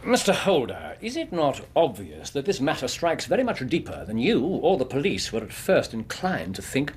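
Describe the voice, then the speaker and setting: An actor playing an incisive, eccentric Victorian gentleman detective in a radio drama, precise, probing and theatrical, with an English accent. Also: mid-range voice, huge pitch swings, moderate pace, slight background noise. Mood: incisive reasoning.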